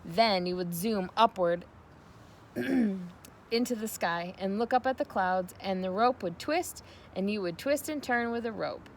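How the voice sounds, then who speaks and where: A woman giving an expressive read-aloud narration of a children's novel. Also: mid-range voice, unhurried, a little hiss or hum. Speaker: storybook reader.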